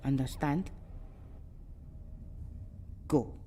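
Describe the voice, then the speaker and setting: A woman playing a seductive, stern and threatening female vampire in an ASMR roleplay, with a dramatic, deliberate delivery. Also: very deep voice, dark tone, heavily acted. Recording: clearly noisy, ambient background.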